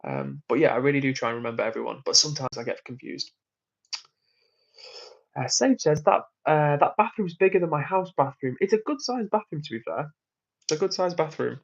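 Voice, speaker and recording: A man's voice: a little high, fairly quick, gravelly, lo-fi sound. Chatty man reading stream chat. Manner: chatty, rambling, friendly to chat. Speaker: livestream host. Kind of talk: casual livestream chat reading comments. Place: hotel room.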